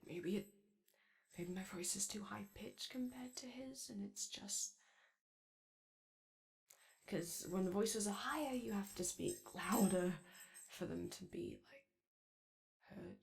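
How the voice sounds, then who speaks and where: A woman voicing an anxious, gentle male animatronic daycare robot in ASMR roleplay, with a strained, raspy voice and hesitant, apologetic speech. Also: mid-range voice, slow pace, long pauses. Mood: insecure, wistful. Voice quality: strained, raspy, creaky, as if voice lost from yelling. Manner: hesitant, nervous, stammering, apologetic. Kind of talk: ASMR roleplay comfort audio.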